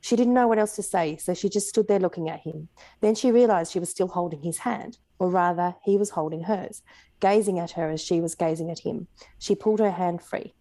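A woman's voice: fairly low pitch, brisk, dark tone, no echo, low-quality recording. A woman with an Australian accent, an author talking casually and reading fiction aloud as a guest.

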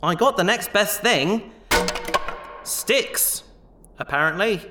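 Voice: medium pitch, expressive, takes it slowly, very noisy. An actor playing an easygoing, glib, apologetic treasure hunter with a casual manner in a sci-fi audio drama. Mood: glib, defensive. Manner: glib, casual, self-justifying.

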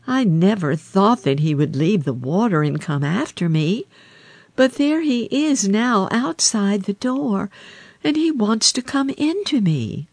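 A woman's voice: mid-range voice, very animated, unhurried, dry recording, pitched above the performer's own voice. A woman voicing a frightened, spoiled young princess in a storybook, protesting anxiously. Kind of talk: storybook reading.

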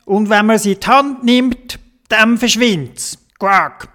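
A man's voice: sits high, slow pace, a bit bright, pitched above the performer's own voice. A man with a Swiss German accent voicing a bossy, riddle-posing frog in a children's story, punctuating his speech with quacks. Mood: teasing, riddling.

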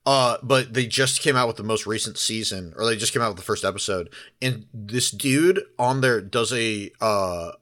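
Young man, podcast co-host, low voice, bright tense tone, laid-back and foul-mouthed. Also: normal speed, hardly any pauses. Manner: laid-back, swears a lot, laughs easily. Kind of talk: casual chat.

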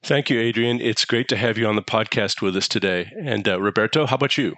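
Male podcast host, polite, deeper voice, dry studio sound. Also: fast talker, a bit bright, dry recording. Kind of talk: hosting an interview podcast.

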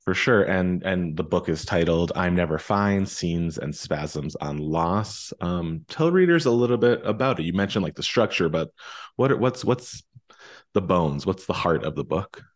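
A man's voice: low voice, expressive, unhurried. A man hosting a podcast, moving between scripted introductions and casual, self-correcting interview chat. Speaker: podcast host.

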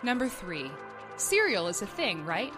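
A woman reading a scripted list in a deliberate, deadpan way, as a podcast narrator. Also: mid-range voice. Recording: music behind the voice. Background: music.

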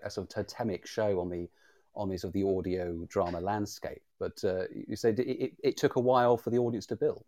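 Low, dry-sounding man, hesitant. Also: steady tone, a little fast, darkish tone, no echo. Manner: hesitant, circling phrasing with repeated starts. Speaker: audio drama writer acting as interviewer. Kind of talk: informal conversational interview.

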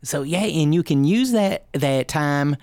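A man's voice: on the high side, expressive, unhurried, darkish tone, clear, resonant tone, dry recording. A man who is a guest on a casual radio talk show in a studio, speaking in a joking, loose and meandering way.

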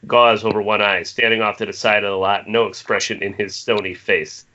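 Low-voiced man narrating a screenplay. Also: sharp tone. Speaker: narrator reading stage directions. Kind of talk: scripted screenplay narration, table read. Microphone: internet video call. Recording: dull lo-fi internet call.

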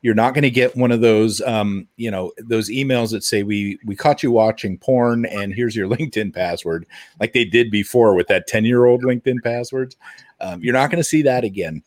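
A man's voice: lowish voice, normal speed, darkish tone, no echo. A man co-hosting a podcast, giving casual, explanatory commentary in a conversational way.

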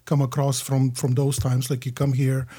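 A man in his forties from Bulgaria with a Bulgarian accent, a podcast guest telling his story in a reflective, halting way with many fillers. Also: mid-range voice, monotone, mellow, breathy edge. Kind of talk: casual podcast interview.

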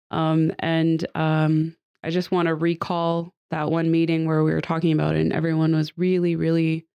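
A woman's voice: low voice, creaky, a little mellow. Slightly nasal woman hosting a chat. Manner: chatty and upbeat, with frequent fillers and light laughs. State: recovering from a cold, a little nasal. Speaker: podcast host. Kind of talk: casual group podcast chat. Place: seated at a table with guests.

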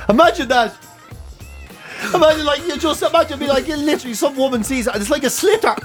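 An Irish man co-hosting a radio comedy show, chatting casually in an animated, crude, joking manner. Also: very high-pitched, fairly quick, soft background music. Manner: animated, crude joking with exaggerated mock-quoting. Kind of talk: comic banter, casual chat.

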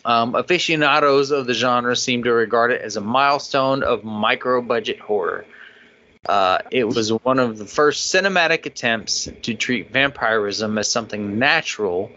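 A man's voice: medium pitch, normal speed, pushed and bright. A man co-hosting a podcast, reading listener emails aloud in a loose, chatty way. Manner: laughs often while reading, loose and chatty. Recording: dull lo-fi podcast audio.

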